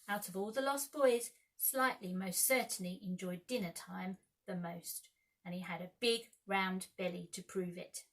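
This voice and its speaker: A woman narrating a children's storybook aloud with expressive, deliberate dramatic phrasing. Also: medium pitch, low-quality recording. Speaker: primary school teacher reading aloud.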